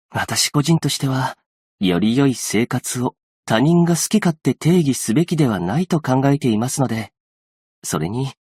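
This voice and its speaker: An actor playing a gentle, patient, earnest young male aid worker in a game, speaking politely and softly in measured, formal phrases. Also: medium pitch, slightly rough, dry recording, studio quality. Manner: polite, soft-spoken, measured formal speech. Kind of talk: scripted game character voice lines.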